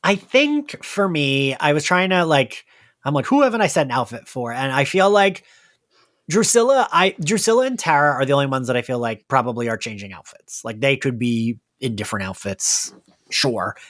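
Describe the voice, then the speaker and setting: A man hosts a relaxed pop-culture podcast with a very lively, swinging intonation. His talk is informal, with casual swearing, and the recording is dry and close. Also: medium pitch, normal speed, no echo. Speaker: podcast host.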